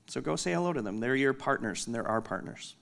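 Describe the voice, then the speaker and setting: A man with a loud voice giving an unscripted conference keynote from a stage, candid and self-deprecating with joking asides. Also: medium pitch, lively intonation, fast, creaky. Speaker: company executive giving a keynote.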